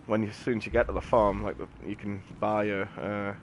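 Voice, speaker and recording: A man's voice: on the low side, little pitch movement, a little fast, slight background noise. A man giving casual gameplay commentary in a rambling, matter-of-fact, slangy way. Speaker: game streamer. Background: game music and sound effects.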